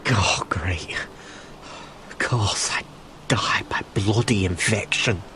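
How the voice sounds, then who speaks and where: An actor playing a wounded, weary, sardonic fighter in a video game, with faint rain-like hiss behind. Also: male voice, on the low side, slow, sharp tone, in a whisper, exaggerated voice. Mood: pained. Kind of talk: dramatic video game voice acting.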